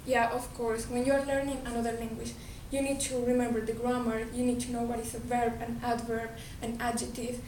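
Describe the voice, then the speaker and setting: A woman's voice: fairly high pitch, flat delivery, a little fast, few pauses, a little mellow. A woman from Colombia with a Colombian Spanish accent, a native Spanish speaker giving an earnest talk to an audience and often restarting her words. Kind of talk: presentation to an audience. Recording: echoey hall, distant mic, background noise.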